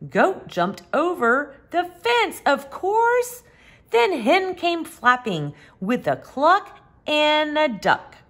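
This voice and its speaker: Lady reading a kids picture book, super slow and singsongy up and down, high expressive voice. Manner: expressive, with emphatic animal sounds and drawn-out words. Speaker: children's storytime host. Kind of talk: animated picture-book read-aloud for young children.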